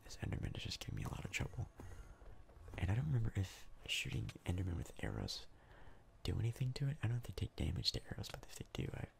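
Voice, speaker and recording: A man speaks in a breathy whisper that often breaks into heavy vocal fry. His low voice moves around in pitch as he gives casual, halting ASMR commentary over gameplay. The delivery stays hushed and soft-spoken. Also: wildly swooping pitch. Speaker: gaming video host.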